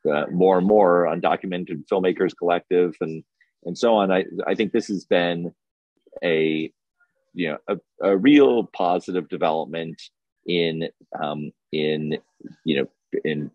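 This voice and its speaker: A man giving a conversational interview answer as a guest, halting and searching for his words. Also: a little mellow, no room sound. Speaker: film festival director, panel guest. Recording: muffled, boomy room sound.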